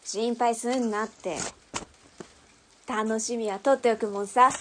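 An actress playing a tough, brusque female underworld boss and mother in a radio drama, speaking in a rough, mannish, commanding way. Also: on the high side, fairly even intonation, a little slow, heavy background noise, natural voice. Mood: bossy. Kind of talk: Japanese radio drama acting.

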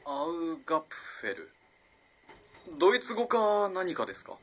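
A man acts out a young male student in a radio drama. His bright, strained voice has a husky edge and leaps about in pitch. He is evasive and meek, stammering through polite, hesitant phrases. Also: a little slow, exaggerated voice. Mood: awkward, hesitant. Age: young adult. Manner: stammering, hesitant, excitable when cornered. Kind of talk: Japanese radio drama acting.

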